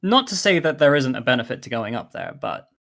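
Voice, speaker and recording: A man's voice: medium pitch, sing-song, a little fast, vocal fry, a bit bright. A man running a tabletop game casually as game master. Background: music.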